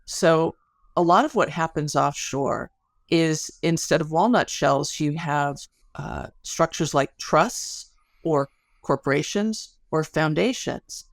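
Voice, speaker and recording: A woman's voice: deep voice, slow pace, dry recording, clean studio sound. A middle-aged woman speaking as an academic guest in a podcast interview, explaining things articulately through stories and analogies.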